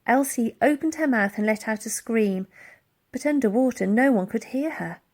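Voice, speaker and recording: A woman reading a children's story aloud in an expressive, dramatic storytelling style. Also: mid-range voice. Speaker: audiobook reader.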